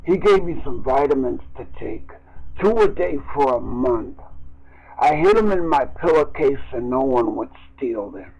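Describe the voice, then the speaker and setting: A man's voice: medium pitch, expressive, a little mellow, muffled recording, soft background music. A man reading his own short story aloud in the first person, in a somber, downbeat way. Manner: slow, somber, downbeat delivery.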